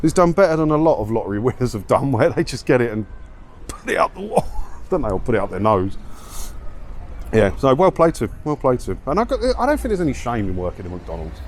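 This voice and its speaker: A man's voice: medium pitch, very animated, fast. A man with a southeast English accent giving casual, rambling commentary as a vlogger, chatty and colloquial, repeating himself and tagging questions onto his sentences. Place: outdoors, walking around a seaside town. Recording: outdoor street ambience with traffic noise.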